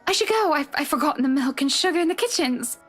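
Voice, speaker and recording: An actress playing a timid, apologetic young woman anxious to please, with a halting, pleading manner in a visual novel game. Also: high voice, expressive, fairly bright tone, soft background music. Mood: nervous, polite.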